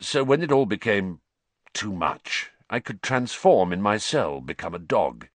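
A man voicing a bitter, vengeful male wizard who speaks in a low, growling, venomous way. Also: sing-song, measured pace, no echo. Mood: brooding.

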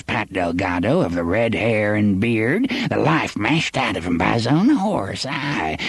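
A man's voice: on the low side, creaky, breathy edge, dry recording, heavily acted. Man voicing a taunting old witch, wildly swooping voice. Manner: archaic rustic phrasing, taunting. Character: spiteful, wheedling old witch woman, a hag.

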